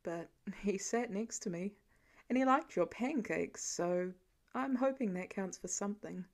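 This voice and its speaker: Woman voicing a gentle, weary dad, pitched low. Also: unhurried, close to an everyday voice. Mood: subdued, heavy. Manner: low-key, plain-spoken, dry. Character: gentle, weary middle-aged father, quietly caring.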